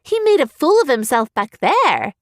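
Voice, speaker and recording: A woman's voice: sits high, sing-song, normal speed, a little mellow, no echo, voice pushed up. A woman voicing a fiery young heroine who speaks urgently and defiantly. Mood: upset.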